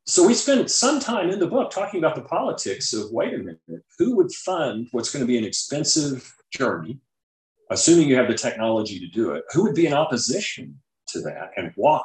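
A man speaking as an expert guest in a conversational interview, explaining things and often checking in with "right?". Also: lowish voice. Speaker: guest author and scientist. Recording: roomy, echoing sound.

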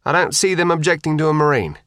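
A man voicing a calm, authoritative flotilla commodore in an audiobook, giving direct orders in an informal way. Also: on the high side, fast talker, no room sound, clean studio sound, pitched above the performer's own voice. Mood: calm, commanding. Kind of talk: audiobook character dialogue.

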